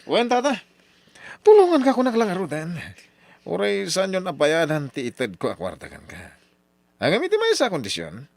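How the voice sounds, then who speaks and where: Bossy cruel husband in an old radio drama, swingy animated voice, dry sound. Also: male voice, high-pitched, normal speed, vocal fry, dry recording, heavily acted. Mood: calculating. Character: domineering rich suitor turned cruel, jealous gambler husband.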